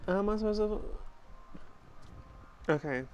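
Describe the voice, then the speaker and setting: Animated gamer thinking aloud. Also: mid-range voice, measured pace, a little hiss or hum. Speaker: gaming channel host. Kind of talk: casual game playthrough commentary.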